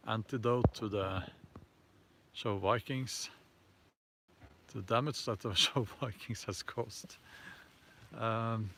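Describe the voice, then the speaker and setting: Norwegian-accented male host, unhurried and halting, mid-pitched, noisy recording. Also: a bit bright. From Norway. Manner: hesitant, halting, many fillers and restarts. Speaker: host answering viewer comments. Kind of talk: casual live chat.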